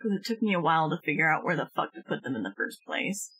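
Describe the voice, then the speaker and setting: A woman's voice: sits low, big pitch swings, fast, a bit bright, breathy edge, rough recording. A young woman streaming a game, talking casually in a wry, informal, sweary way. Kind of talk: casual gameplay chat. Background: faint game music.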